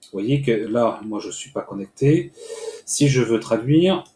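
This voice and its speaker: A man's voice: mid-range voice, animated, unhurried, warm tone. Hesitant man explaining in an echoey room. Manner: hesitant, many "euh" fillers, step-by-step explaining. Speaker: tutorial host. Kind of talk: screen-recorded software tutorial. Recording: echoey room with faint noise.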